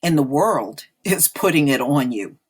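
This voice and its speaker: A woman's voice: sits low, very animated, unhurried, breathy edge. An American woman giving informal opinion commentary in a blunt, disapproving, emphatic manner, repeating phrases for effect. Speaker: online commentator.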